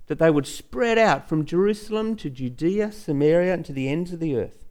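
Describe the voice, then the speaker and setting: A man's voice: a little high, animated, moderate pace, darkish tone. A man preaching a teaching sermon in a conversational way, repeating phrases for emphasis and putting rhetorical questions to the listener.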